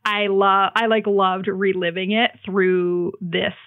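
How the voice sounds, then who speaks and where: A woman's voice: medium pitch, level tone, slow, narrow, tinny audio. A woman from New Jersey chatting informally as a podcast guest, peppering her sentences with "like". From New Jersey, United States. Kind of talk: casual conversational chat.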